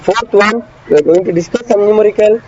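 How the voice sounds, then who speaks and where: A man with a high voice teaches an online class, drilling each point by saying it twice and checking in with students. The audio is dull and muffled, with clear traffic noise behind him. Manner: repeats key phrases slowly, drilling students; asks students frequent check questions. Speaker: engineering lecturer.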